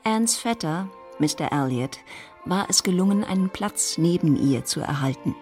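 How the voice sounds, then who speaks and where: A woman acting as the narrator of a period radio drama, a composed storyteller with a measured, literary delivery. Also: lowish voice, animated, darkish tone, faint music behind, close to an everyday voice.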